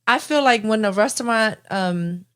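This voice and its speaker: A young woman co-hosting a casual podcast, blunt and animated, swearing freely as she talks. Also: fairly high pitch, normal speed, clean tone. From Cambodian-American family. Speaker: podcast co-host. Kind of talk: casual chat.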